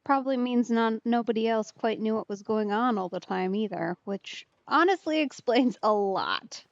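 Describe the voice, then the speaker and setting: A woman's voice: fairly high pitch, moderate pace, no echo. A woman giving casual let's play commentary as she streams a story game. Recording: slightly dull, band-limited stream audio.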